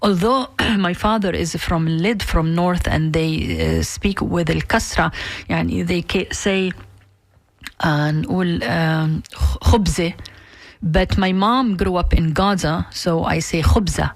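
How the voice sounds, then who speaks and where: A woman's voice: fairly low pitch, unhurried, no echo. Palestinian-accented woman radio host. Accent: Palestinian Arabic. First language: Arabic. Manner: earnest, long questions with fillers. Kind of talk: interview questions.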